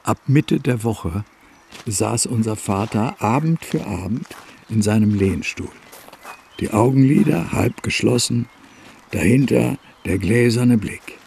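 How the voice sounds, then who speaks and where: Gravelly, brooding man. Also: mid-range voice, slow pace, a little mellow, heavily acted. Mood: bitter recollection. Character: brooding man searching his brother's past. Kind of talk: radio play narration and dialogue.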